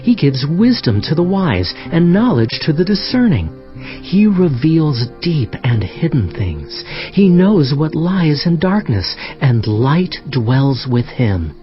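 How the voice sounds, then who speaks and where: A man's voice: on the high side, sing-song, takes it slowly, lo-fi sound, soft background music. A man playing a devout, tactful young prophet, speaking earnestly and with reverence in a dramatized scripture reading. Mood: reverent, grateful. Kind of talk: dramatized scripture audio acting.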